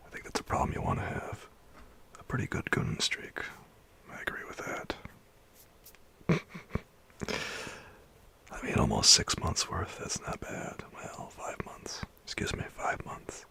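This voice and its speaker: A man chatting with his livestream viewers in a soft whisper, rambling and chuckling as he goes. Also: measured pace, long pauses.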